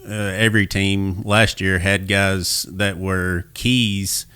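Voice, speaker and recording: Low, smooth-voiced male host. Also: fairly even intonation, takes it slowly, bright, forceful tone, no room sound. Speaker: podcast host. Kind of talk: casual sports chat.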